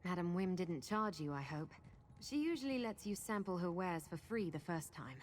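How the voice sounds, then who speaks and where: An actress plays a cool female spy in a fantasy video game, with a slightly low voice. She is dry, self-assured and conspiratorial. A faint ambience sits behind her. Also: lively intonation, moderate pace, a little hiss or hum, close to an everyday voice. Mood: dry, confident. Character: cool, capable female secret agent, dryly amused.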